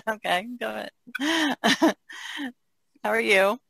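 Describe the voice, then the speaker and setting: A woman's voice: a little high, expressive, slow pace, gravelly, a bit bright, dry recording. A woman from the Chesapeake Bay region chatting casually and easily as a painter. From Chesapeake Bay region, United States. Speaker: painter being interviewed. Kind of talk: casual chat.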